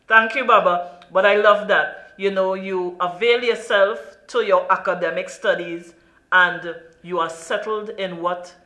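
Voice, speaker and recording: A woman's voice: mid-range voice, steady tone, slow, bright, forceful tone, reverberant. A woman from Trinidad and Tobago with a Trinidadian accent, hosting an interview in an earnest, emphatic way. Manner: earnest, emphatic, lots of "you know". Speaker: talk show host.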